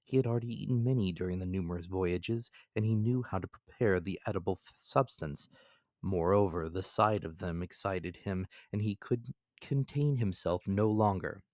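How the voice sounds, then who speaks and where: Low-voiced man narrating a classic novel. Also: normal speed, darkish tone, no room sound, clean studio sound. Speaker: audiobook narrator. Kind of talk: dramatic scripted reading of classic fiction.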